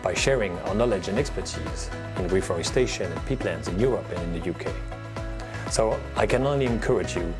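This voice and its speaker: Low-voiced man giving a scripted video message. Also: animated, a little fast. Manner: formal, scripted delivery. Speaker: company co-founder and CEO. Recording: music playing underneath.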